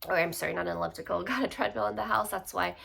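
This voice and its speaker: A woman's voice: on the low side, rapid-fire, gravelly, slightly rough. A young adult woman who sounds congested, chatting casually through a bag review vlog at home, with lots of fillers. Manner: relaxed, chatty, many fillers. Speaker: YouTube vlogger.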